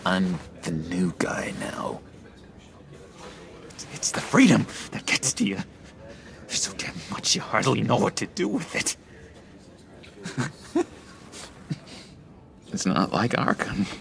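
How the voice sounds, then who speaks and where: An actor playing an eccentric, unsettling former asylum inmate in a video game, awkwardly eager, with halting, theatrical delivery that swings between giggly and brooding. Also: male voice, lowish voice, wildly swooping pitch, vocal fry, a bit bright, full character voice. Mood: wistful, unsettling.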